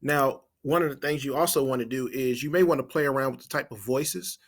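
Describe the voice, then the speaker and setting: A man with a medium-pitched voice leads an informal screen-share tutorial. He talks like a trainer, laying out each step and thinking aloud as he works. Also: a little fast, a crowd in the background. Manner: step-by-step, explanatory, thinking aloud while typing. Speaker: marketing trainer.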